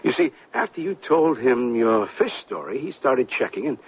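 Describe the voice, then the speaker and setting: Authoritative, amused governor for radio drama, mid-pitched and rough-edged, muffled vintage sound. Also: male voice, sing-song. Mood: explaining. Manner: measured, official, chuckling. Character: genial, authoritative male state governor, slyly amused. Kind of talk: 1970s radio drama acting. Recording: dull 1970s radio recording.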